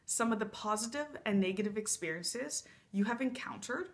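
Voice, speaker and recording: A woman interviewer asking measured, formal questions. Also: medium pitch.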